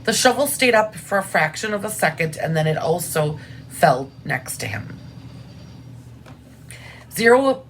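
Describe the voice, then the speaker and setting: Lively, low-pitched woman reading aloud. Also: measured pace, pauses often, a little hiss or hum, rough recording. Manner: explains simply and informally between passages. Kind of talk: expressive read-aloud with drawing asides.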